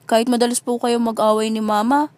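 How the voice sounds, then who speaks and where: A woman's voice: a little high, level tone, a little fast, no echo, close to an everyday voice. A woman playing an affectionate young daughter who earnestly reassures her father in an amateur radio drama. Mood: tender, earnest. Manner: gentle, earnest.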